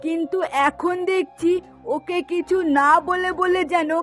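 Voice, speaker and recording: A woman's voice: very high-pitched, fairly even intonation, measured pace. A woman voicing an exasperated middle-aged mother in a cartoon, complaining and scolding with emphasis. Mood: indignant. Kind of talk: Bengali cartoon voice acting. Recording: music behind the voice.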